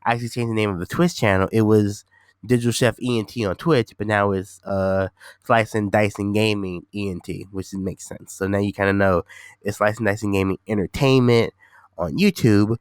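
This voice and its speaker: A man's voice: fairly low pitch, fairly even intonation, moderate pace, smooth, dry recording, clean studio sound. A man hosting his own podcast, sounding nasal and congested from a cold, rambling casually with repeats and fillers. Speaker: podcast host. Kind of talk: casual solo podcast talk.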